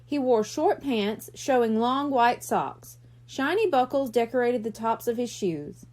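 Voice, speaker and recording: A woman reads a children's audiobook in a high voice. The scripted narration moves with lively, varied intonation. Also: a little slow. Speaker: audiobook reader.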